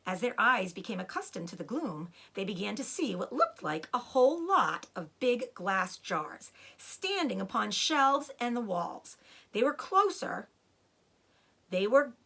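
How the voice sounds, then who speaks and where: A woman reading a children's book aloud in an expressive, dramatic way, with short asides about the pictures. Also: on the high side, gravelly, slightly rough. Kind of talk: animated storybook read-aloud with picture asides.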